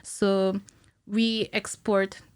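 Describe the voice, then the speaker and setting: A woman in her thirties speaking as a podcast guest, explaining technical work conversationally and a little haltingly, thinking aloud into a studio microphone. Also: mid-range voice, level tone, clear, resonant tone, no room sound. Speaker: podcast guest, cinematic animator. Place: small indoor podcast studio. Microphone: studio microphone on a stand.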